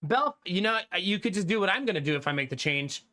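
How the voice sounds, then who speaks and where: A young adult man streaming live, chatting casually with his viewers, animated and talkative, often laughing. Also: high voice, quick pace.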